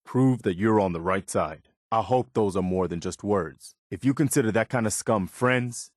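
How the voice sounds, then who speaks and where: Male actor as principled militia officer, video game companion, lowish pitch, sincere, plain-spoken, morally firm, dry studio sound. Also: normal speed, dry recording, close to an everyday voice. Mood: disappointed, firm. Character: earnest, principled militia officer, loyal but easily disappointed.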